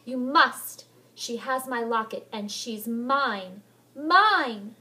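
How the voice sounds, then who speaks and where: A woman's voice: high voice, lively intonation, unhurried, fairly bright tone, voice pushed up, exaggerated voice. A woman voicing a distraught young girl who pleads, sobs and shrieks. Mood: frantic.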